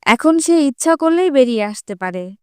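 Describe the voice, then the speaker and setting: A woman's voice: high-pitched, expressive, moderate pace, dry recording, clean studio sound. A woman narrating a scripted children's fable as a storyteller.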